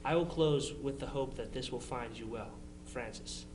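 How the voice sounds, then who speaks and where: A man playing a chatty nineteenth-century villager, reading a letter aloud in a halting way with repeated phrases. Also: mid-range voice, background noise, lightly acted. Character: male 1860s villager writing chatty news to his brother. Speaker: amateur reader in a historical society dramatization.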